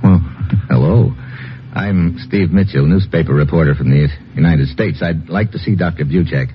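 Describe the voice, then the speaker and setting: An actor playing a cool, hard-boiled American investigator, clipped and wry, in an old-time radio drama. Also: male voice, rumbling low, normal speed, slight background noise. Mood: brisk. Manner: clipped, wry, matter-of-fact. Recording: dull lo-fi old radio recording.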